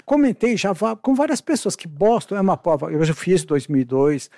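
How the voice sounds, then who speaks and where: A man's voice: sits high, animated, moderate pace, a little mellow, dry recording, clean studio sound. A middle-aged man with a Brazilian accent telling casual anecdotes in a blunt, colloquial, emphatic way, often repeating himself. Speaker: podcast guest, veteran amateur marathon runner.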